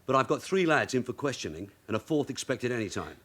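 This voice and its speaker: An actor playing a shrewd veteran detective sergeant in a radio drama, husky, brisk and matter-of-fact. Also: male voice, mid-range voice, sing-song, fairly bright tone. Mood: firm, businesslike. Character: shrewd veteran London police detective sergeant, calm and commanding.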